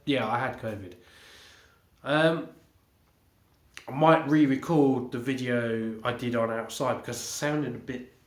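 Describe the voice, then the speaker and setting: A man vlogging a casual home update, a little congested from illness, rambling with halting restarts and fillers. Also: medium pitch. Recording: echoey room.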